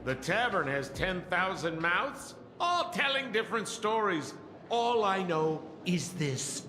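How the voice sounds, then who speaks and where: A man playing an excitable old tavern informant in a video game, teasing and theatrical as he spins his stories. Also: high-pitched, slow, exaggerated voice. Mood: sly, storytelling. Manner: theatrical, teasing storyteller with dramatic exclamations. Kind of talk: animated video game acting. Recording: echoey, reverberant, over music.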